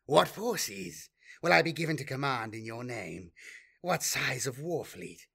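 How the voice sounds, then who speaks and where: A man's voice: mid-range voice, big pitch swings, unhurried, vocal fry, sharp tone, breathy edge, full character voice. A man voicing a scheming male sorcerer who fawns obsequiously before his master. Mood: fawning. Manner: obsequious, careful.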